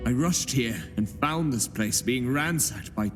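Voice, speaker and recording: A man's voice: medium pitch, animated, measured pace, in a large hall, music in the background, lightly acted. A man voicing a pious tearful knight. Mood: grave. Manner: solemn, pious, emotional delivery. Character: pious, tearful male knight, middle-aged, humble yet pleading. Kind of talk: game voice acting.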